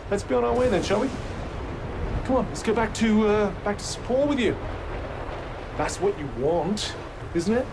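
An actor playing a haughty, articulate companion in a video game, speaking in a formal, sardonic and theatrical manner. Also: male voice, high-pitched, normal speed, creaky, long pauses, background noise. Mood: mocking. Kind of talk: video game cutscene acting.

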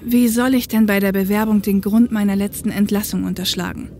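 A woman's voice: mid-range voice, fairly even intonation, a little fast, mellow, a little hiss or hum, close to an everyday voice. A woman acting a dejected, bitter jobless former police copilot in an audio drama, with a glum, dry delivery. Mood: dejected, resigned.

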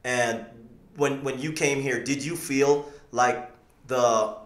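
A man from Canada hosting a podcast, asking questions and telling stories in animated casual chat. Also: mid-range voice, takes it slowly, reverberant. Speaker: podcast host. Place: small studio room. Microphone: studio mic on boom arm.

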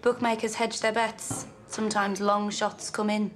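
An actress playing a poised young gangster-family woman, cool, measured and dryly assertive. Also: mid-range voice, little pitch movement, natural voice. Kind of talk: period television drama dialogue.

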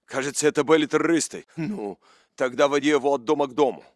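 An actor playing a gruff Russian soldier in a military shooter game, curt and commanding, with a Russian accent. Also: male voice, a little high, fast, no echo, clean studio sound, close to an everyday voice. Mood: conversational. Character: gruff Russian soldier guarding and ordering workers.

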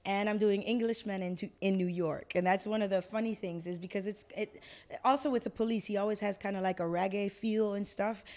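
A woman in her forties, a singer chatting casually in an interview, laughing easily and correcting herself, recorded close in a quiet room. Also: mid-range voice, steady tone, brisk.

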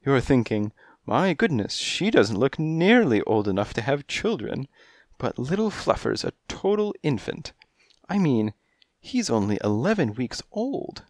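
A man's voice: medium pitch, sing-song, a little slow, no echo, studio quality, pitched above the performer's own voice. A man voicing a flirtatious, dainty female cat who gushes and speaks breathily, in a storybook reading. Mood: gushing. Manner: breathy, gushing, coy.

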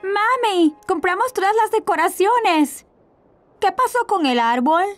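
High-pitched cheerful little boy. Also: a little slow, faint music behind. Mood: excited, eager. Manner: childlike, excitable. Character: cheerful, eager little boy, about four. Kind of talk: children's cartoon dubbing.

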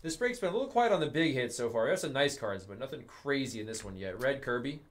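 A man's voice: medium pitch, quick pace. A young man hosting a live card break in a studio, calling out the cards he pulls in a casual, chatty way. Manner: casual, calls out cards, excited on big hits.

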